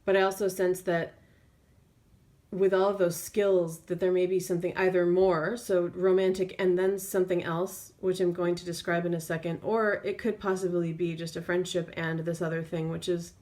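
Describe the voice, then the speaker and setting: A woman tarot reader speaking off the cuff in an intuitive reading, feeling her way through phrases with drawn-out pauses. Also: lowish voice, level tone, a little mellow.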